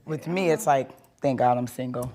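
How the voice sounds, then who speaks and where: A woman's voice: rumbling low, normal speed, dark tone, slight background noise. A woman in her forties chatting candidly, blunt and joking, often laughing as she talks. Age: 40s. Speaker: reality show cast member. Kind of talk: candid casual chat.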